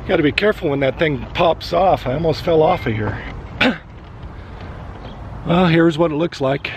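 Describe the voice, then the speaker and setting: A middle-aged man, hoarse and raspy from losing his voice, talks through his work in an unhurried way, thinking aloud with fillers. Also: a little high, animated, a little hiss or hum. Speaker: vlogger. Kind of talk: casual vlog narration while working. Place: inside a step van.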